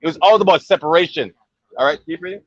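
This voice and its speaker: A man's voice: fairly high pitch, fast, dry recording, lo-fi sound. A man preaching and debating with a passerby out on a city street. He talks insistently and keeps checking in with "okay" and "right?".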